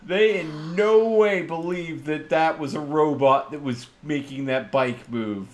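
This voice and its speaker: A man's voice: on the high side, measured pace. A man playing in a streamed tabletop roleplaying game, chatting casually and thinking aloud.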